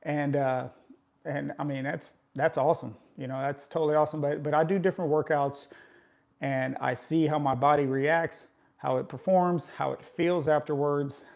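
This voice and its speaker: A man's voice: fairly high pitch, fairly even intonation, normal speed, gravelly, darkish tone. Chatty middle-aged man coaching viewers. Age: 40s. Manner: conversational, coaching, often tags remarks with "right?". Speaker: fitness vlogger. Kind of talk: casual live chat with viewers.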